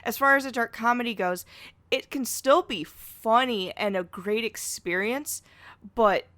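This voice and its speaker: Podcast guest lady, kinda high voice, dry sound, frank and rambly casual chat. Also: a little slow, fairly bright tone, dry recording.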